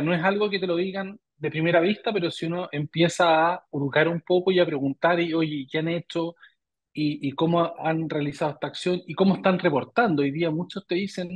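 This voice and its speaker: Chilean male guest, middle-aged, slightly high voice, measured and halting, restarts words often. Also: husky. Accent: Chilean Spanish. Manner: thoughtful, repeats words while searching for phrasing. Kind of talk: interview answers.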